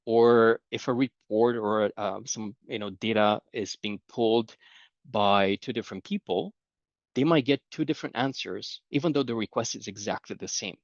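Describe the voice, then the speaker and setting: A man's voice: fairly low pitch, normal speed, gravelly, no echo, muffled recording. A middle-aged man with a Romanian accent giving a conversational conference presentation, explaining ideas with everyday anecdotes. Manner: conversational, explanatory, tells anecdotes with light laughs. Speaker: conference speaker.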